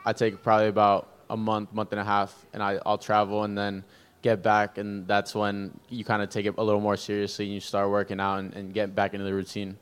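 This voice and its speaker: Young guy on a panel, low smooth voice, pretty flat, just answers straight. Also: a little fast. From born in Peru, Venezuelan family. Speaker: baseball player panelist.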